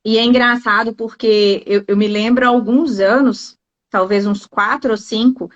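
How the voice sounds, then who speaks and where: A woman's voice: on the high side, steady tone, moderate pace. A Brazilian woman hosting a casual live online interview, speaking in an effusive, informal, anecdotal way. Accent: Brazilian Portuguese. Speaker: host of a live online talk; food industry consultant. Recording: dull, lo-fi online call audio.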